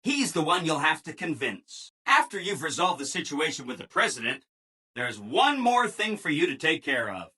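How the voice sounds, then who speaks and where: A man's voice: on the high side, very animated, normal speed, pushed and bright, husky, heavily acted. A man voicing a relentlessly cheerful, eager-to-please robot assistant in a video game, with a perky, over-polite manner. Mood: cheerfully explanatory. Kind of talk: video game character dialogue.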